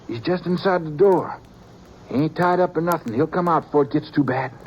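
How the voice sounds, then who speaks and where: A man's voice: a little high, fairly quick, slight background noise, close to an everyday voice, low-quality recording. A man playing a laconic frontier lawman. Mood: steady. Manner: plain, laconic, calling out. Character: steady male lawman partner, practical. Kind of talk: 1950s radio acting.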